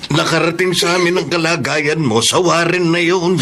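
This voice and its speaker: Gentle middle-aged father, lightish voice. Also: fairly high pitch, moderate pace, a little hiss or hum. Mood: relieved, forgiving. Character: gentle, forgiving rural father. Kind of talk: Filipino radio drama acting.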